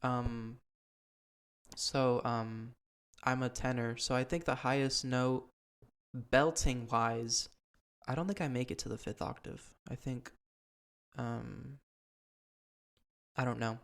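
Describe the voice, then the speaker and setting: A man's voice: medium pitch, steady tone, slow pace, long pauses, a bit bright, smooth. A young man hosting a podcast, talking in a casual, earnest way with plenty of ums and restarts. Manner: earnest, rambling with many ums. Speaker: podcast host. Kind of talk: casual chat.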